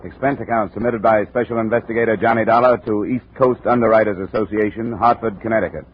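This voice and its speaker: An American actor playing a tough, unflappable insurance investigator in a 1950s radio drama, clipped and wry. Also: male voice, fairly low pitch, fairly even intonation, fast, natural voice. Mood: dry narration. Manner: clipped, hard-boiled, wry. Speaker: lead actor and narrator. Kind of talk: 1950s radio detective acting. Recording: noisy, tinny old radio recording.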